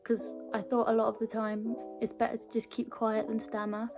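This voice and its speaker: A woman's voice: fairly high pitch, monotone, moderate pace. A young British woman with a mild stammer who talks earnestly to camera in a casual personal style and sometimes repeats small words. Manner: earnest, with small word repetitions. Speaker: video blogger. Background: background music.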